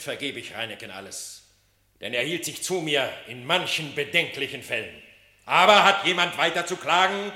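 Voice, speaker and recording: A man's voice: a little high, bright, forceful tone, echoey room. An actor playing a stern male animal king holding court in a radio play. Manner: commanding, stern.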